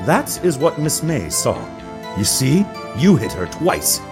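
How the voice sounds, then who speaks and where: A man's voice: medium pitch, huge pitch swings, slow pace, full character voice. A man playing a vain, smug male witness in a video game courtroom drama, speaking in a pompous, flowery way. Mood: smug, dramatic. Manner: pompous, flowery, self-satisfied. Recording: clear music behind the voice.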